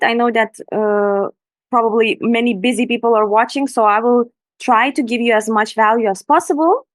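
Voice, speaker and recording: A woman's voice: fairly high pitch, no echo. Woman from lithuania, earnest presenter, dry sound, video call. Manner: enthusiastic, earnest. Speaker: Instagram business coach, guest. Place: room in Bali at night.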